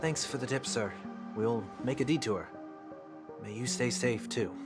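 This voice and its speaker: A man voicing a solemn, refined fallen gentleman in a video game, wistful and courteous, with formal, measured phrasing. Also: medium pitch, natural voice. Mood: guarded, polite. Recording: game music behind the voice. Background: game soundtrack music.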